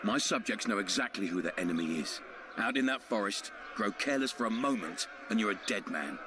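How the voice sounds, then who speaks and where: A man playing a cynical, authoritative ruler of a fantasy town in measured game dialogue. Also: lowish voice, expressive, background noise, close to an everyday voice. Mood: cold, menacing.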